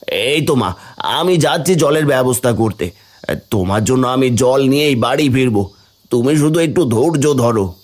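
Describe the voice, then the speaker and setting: A man voicing a devoted young village son, earnest and pleading, in an animated fairy tale. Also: mid-range voice, moderate pace, close to an everyday voice. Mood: earnest, reassuring.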